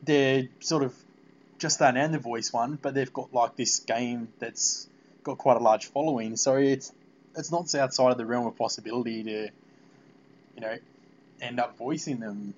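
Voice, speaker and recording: A man hosting a casual interview about video games, talking in an informal, halting, rambling way. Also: mid-range voice, steady tone. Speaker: podcast interviewer. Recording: muffled, noisy lo-fi call audio.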